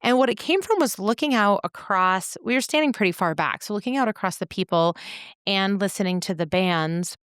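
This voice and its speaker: A woman co-hosting a podcast, telling stories in a casual, reflective way with thoughtful, measured phrasing. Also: mid-range voice, lively intonation, fairly bright tone, smooth, no room sound, studio quality. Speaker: podcast co-host. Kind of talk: casual reflective storytelling in conversation.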